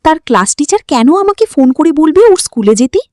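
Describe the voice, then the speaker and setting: A woman's voice: high voice, big pitch swings, a little fast, darkish tone, dry recording, clean studio sound, voice pushed up. A woman voicing an angry, exasperated, sarcastic mother in an audio story. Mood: angry scolding. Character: angry, scolding middle-aged mother.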